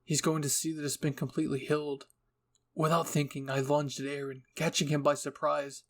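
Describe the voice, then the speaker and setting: Higher-voiced man narrating, creaky. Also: level tone, a little fast. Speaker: narrator, channel host. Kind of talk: scripted story narration, ASMR roleplay reading.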